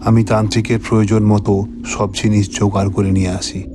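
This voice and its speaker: A man narrating a scripted horror story in the first person, in a measured, dramatic manner. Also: fairly low pitch, flat delivery, over music.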